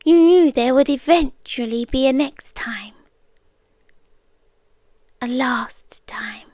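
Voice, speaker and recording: A woman with a British accent playing an ancient, obsessive cosmic being in an intimate ASMR roleplay, speaking softly and deliberately, often whispering. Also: sits high, dry recording, studio quality. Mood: tender, wistful. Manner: soft, deliberate, drifting into whispers. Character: ancient female cosmic entity, obsessive yandere girlfriend, wistful and sinister.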